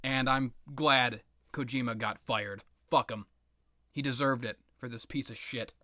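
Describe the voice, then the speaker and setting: A man's voice: mid-range voice, fairly even intonation, measured pace, pushed and bright, dry recording, clean studio sound. A man giving casual, unscripted opinion commentary on video games in a blunt, sardonic, sweary way. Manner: blunt, sardonic, profane ranting. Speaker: video game commentator, host.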